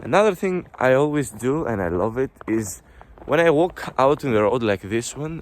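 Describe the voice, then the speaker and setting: A man's voice: lowish voice, darkish tone. A Greek man in his twenties with a Greek accent, chatting casually in a vlog while walking along a snowy street. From Greece. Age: 20s. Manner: chatty, rambling with fillers. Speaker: vlogger.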